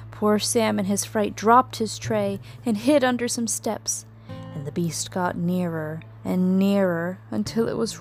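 Expressive woman storyteller over music. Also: medium pitch. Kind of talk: scripted children's story narration.

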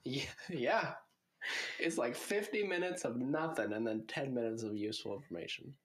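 A man's voice: mid-range voice, normal speed. A young man co-hosting a casual podcast, dry and joking, a bit hyped up. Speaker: podcast co-host. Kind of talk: casual chat.

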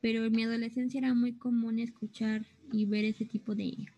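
A woman's voice: fairly high pitch, flat delivery, fairly quick, warm tone, faint music behind. A young woman chatting casually, explaining things and often searching for the right words. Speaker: co-host explaining anime terms. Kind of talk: casual chat.

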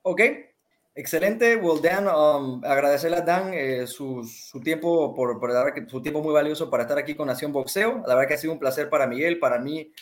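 Male co-host from Mexico, bilingual, fast, slightly high, halting with fillers. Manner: hesitant, many fillers and restarts. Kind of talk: bilingual interview, translating.

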